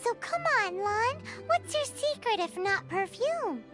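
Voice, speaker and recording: A woman voicing a tiny, excitable childlike fairy companion in a video game, squeaky, perky and blunt. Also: unhurried, full character voice. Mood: playful, curious. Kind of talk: video game character acting. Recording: background music over game dialogue.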